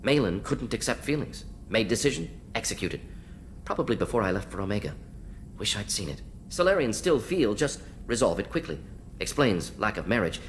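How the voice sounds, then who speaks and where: A brisk, rational alien scientist from a video game, talking in clipped, telegraphic bursts. His low-leaning voice swings up and down with animated intonation. Faint ambient noise sits behind him. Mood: reflective, analytical. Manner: clipped telegraphic phrasing, matter-of-fact. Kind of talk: video game dialogue acting.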